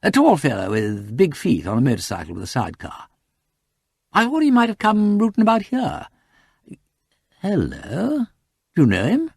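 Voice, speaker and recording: Guy doing posh english lord, upper-class accent, super chatty and polite, bouncy pitch, bit nervous, storybook character. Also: huge pitch swings, moderate pace, gravelly, long pauses, slightly rough, no echo, clean studio sound, exaggerated voice. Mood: chatty, casual.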